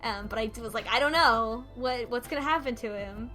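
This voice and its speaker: A woman's voice: on the high side, brisk, pushed and bright, soft background music. A woman co-hosting a podcast, casually retelling a story from notes in an informal, sweary, mock-dramatic way. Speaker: podcast co-host recapping a game.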